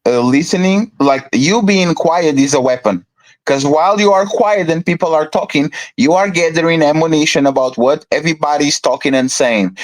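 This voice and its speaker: A man's voice: fairly high pitch, low-quality recording. Man lecturing his co-host, repeating himself. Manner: lecturing, repeats words, uses basketball comparisons. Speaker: podcast co-host and moderator. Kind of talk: heated argument among podcast hosts.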